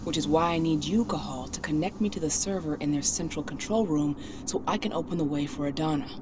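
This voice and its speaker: A woman's voice: sits low, a little fast, noisy background, close to an everyday voice. An actress playing a direct, businesslike female hacker coordinating a mission in a dramatic video game.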